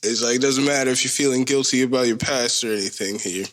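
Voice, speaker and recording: A man's voice: medium pitch, fast. A man in his thirties hosting a solo podcast, talking in a loose, rambling, slangy and joking way. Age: 30s. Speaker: podcast host. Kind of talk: casual solo podcast chat.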